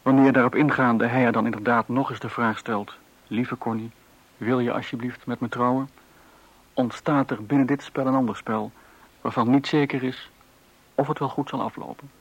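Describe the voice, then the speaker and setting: A man announcing and introducing a radio play in formal, measured scripted narration. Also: medium pitch, fairly even intonation, lo-fi sound.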